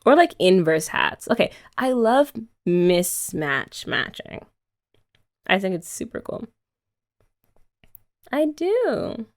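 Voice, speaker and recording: A woman's voice: medium pitch, very animated, takes it slowly, long pauses. A young woman voicing a playful, affectionate girlfriend in an ASMR roleplay, chatting casually with giggles and filler words.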